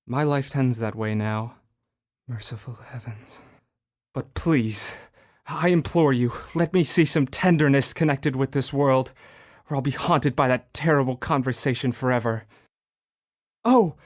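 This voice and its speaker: Repentant old miser; slow, begging delivery; radio drama. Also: male voice, fairly high pitch. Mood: pleading, then joyful. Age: young adult. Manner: pleading, anguished. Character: remorseful old miser man, pleading. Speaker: Ebenezer Scrooge.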